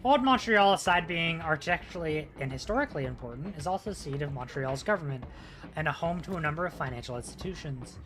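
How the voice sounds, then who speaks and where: A man's voice: fairly high pitch, expressive, fast. A young man chatting casually and swearing freely, then reading a game sourcebook aloud with small stumbles. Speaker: podcast co-host.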